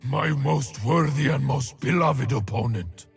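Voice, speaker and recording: A weary warrior shaman of the frozen wilds, with a heavily gravelled, creaking voice. He speaks slowly and solemnly, giving each phrase weight, as a video game character over background music. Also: medium pitch, heavily acted. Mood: grave, brooding. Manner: slow, solemn, weighty delivery.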